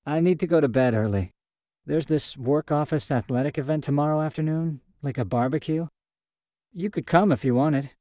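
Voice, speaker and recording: A man voicing a friendly, lively young woman who talks in a chatty, slightly hurried way. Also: fairly high pitch, dry recording, studio quality, pitched above the performer's own voice, natural voice. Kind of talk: audio drama short story reading.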